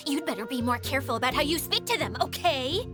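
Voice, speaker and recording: A small, dramatic mascot creature from a video game. Her girlish voice is high and bright, swinging widely in pitch. She is self-deprecating and quick to protest, with music behind her. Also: animated. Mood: chipper.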